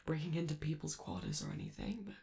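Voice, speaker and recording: A woman playing a friendly, awkward young male robot in a soft ASMR roleplay, with a creaky voice and a hesitant, rambling, apologetic delivery. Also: low voice, huge pitch swings, quick pace, full character voice. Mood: nervous, reassuring.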